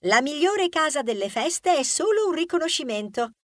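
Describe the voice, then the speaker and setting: Brief: composed young sister mouse, done by a man, storybook read; much higher pitch, lilting, clearly explanatory, dry close sound. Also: sing-song, normal speed, no echo. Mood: matter-of-fact.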